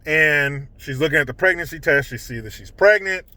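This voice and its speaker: A man's voice: a little high, expressive, a little fast, sharp tone, no echo. A man hosting a vlog, giving casual, opinionated political commentary in a blunt, indignant, profane manner.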